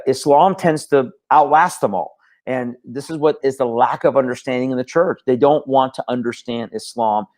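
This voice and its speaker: A man's voice: medium pitch, a little mellow. A man from Iran, a guest on a talk show, speaking earnestly with frequent stammered restarts. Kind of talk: casual talk show conversation.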